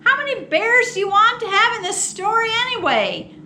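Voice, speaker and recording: A woman reading a children's picture book aloud as a storytime host, expressive and theatrical with drawn-out words. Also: extremely high pitch, a little hiss or hum. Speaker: storytime host at a public library.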